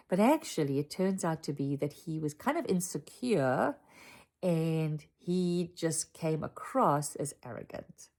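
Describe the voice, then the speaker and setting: A woman's voice: low voice, gravelly, darkish tone. A South African woman, older, sharing a casual personal story with her channel viewers from home, chatty and full of asides. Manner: chatty and reflective, drifting into asides. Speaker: channel host. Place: home room with a Christmas tree.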